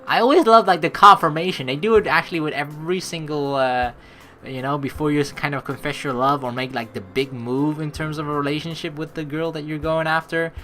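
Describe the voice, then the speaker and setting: A woman doing gameplay commentary, reading story text aloud expressively and reacting to it in an animated way. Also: deep voice, a little fast. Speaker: let's play host reading a visual novel aloud. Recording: game music behind the voice. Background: game music.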